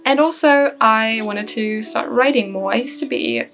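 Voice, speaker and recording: A young woman in her twenties from Germany, chatting casually to camera about her goals, a little self-deprecating and quick to laugh. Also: fairly high pitch, gravelly, a bit bright. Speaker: language-learning vlogger. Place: small bedroom, indoors. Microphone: camera at desk distance.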